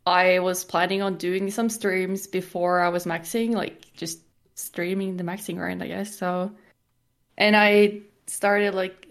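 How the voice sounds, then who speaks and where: A woman in her twenties with a Norwegian accent, chatting casually as a podcast guest. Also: mid-range voice. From Norway. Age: 20s. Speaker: podcast guest, game streamer. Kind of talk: casual chat.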